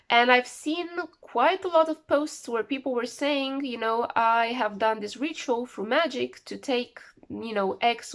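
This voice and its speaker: A woman with the flu talking casually to camera, rambling and repeating words for emphasis. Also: on the high side. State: sick with flu, voice a bit off. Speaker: vlogger.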